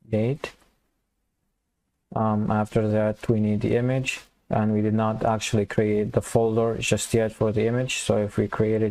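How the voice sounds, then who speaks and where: Gravelly low man walking through code. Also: darkish tone. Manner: thinks aloud, restarts phrases while typing code. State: slightly hoarse, losing his voice. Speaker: programming tutor. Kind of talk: casual screen-recorded coding tutorial.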